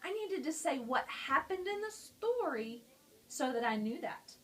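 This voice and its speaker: Friendly woman teacher, a touch high-pitched, walking students through a reading lesson. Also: sing-song, a little slow, a bit bright. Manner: friendly, explanatory, talking to students. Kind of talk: explaining a lesson on a picture book.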